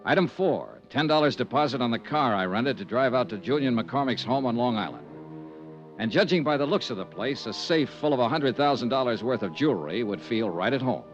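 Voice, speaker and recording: An actor playing a tough freelance insurance investigator in a 1950s radio drama, brisk and matter-of-fact, also narrating. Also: male voice, medium pitch, expressive, faint music behind, natural voice. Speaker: narrator and lead. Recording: dull old radio transcription.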